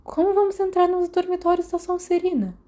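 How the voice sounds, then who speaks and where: Brazilian woman as small timid schoolboy, audiobook character, hesitant, lifted pitch. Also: fairly even intonation, a little fast, dark tone. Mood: puzzled.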